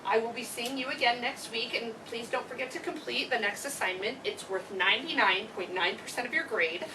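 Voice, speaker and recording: Woman as gushing schoolteacher, highish voice, emphatic, stage reading, noisy recording. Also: fairly quick, natural voice. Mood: brisk, instructing. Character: effusive, gushing schoolteacher praising her students. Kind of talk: amateur staged play reading. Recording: distant mic, clearly noisy live room.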